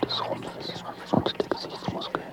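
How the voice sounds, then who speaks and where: An actress playing an eerie reciter of symptoms in a radio drama montage, clipped and list-like. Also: female voice, unhurried, in a whisper, natural voice. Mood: eerie, hushed. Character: eerie whispering reciter of symptoms and health headlines.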